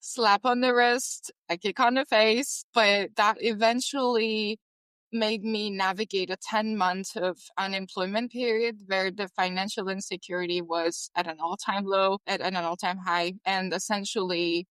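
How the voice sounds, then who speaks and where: A woman's voice: medium pitch, fairly even intonation, a little slow, a bit bright, smooth, no room sound. A young woman from Turkey, a guest on a podcast, speaking earnestly with many fillers. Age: young adult. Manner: earnest, filler-heavy with "like" and "you know". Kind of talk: casual interview.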